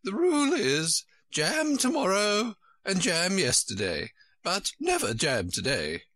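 A man voicing a flighty, imperious older queen from a storybook in a shrill, squeaky, swooping voice. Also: wildly swooping pitch, takes it slowly, a bit bright, no room sound, studio quality, heavily acted. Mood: brisk, imperious. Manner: emphatic, swooping, exclaiming.

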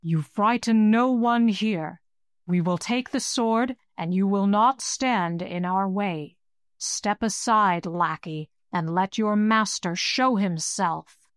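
A woman's voice: medium pitch, measured pace, few pauses, no room sound, clean studio sound, natural voice. A woman playing a commanding, menacing druid. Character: stern old male druid, commanding and fearless.

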